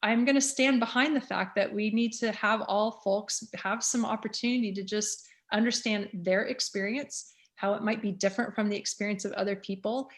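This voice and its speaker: A woman's voice: mid-range voice, fairly even intonation, moderate pace, hardly any pauses. Candid, earnest woman in her fifties. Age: 50s. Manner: candid and earnest, with frequent "um" fillers. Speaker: school superintendent. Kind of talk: speaking and answering questions at a community meeting.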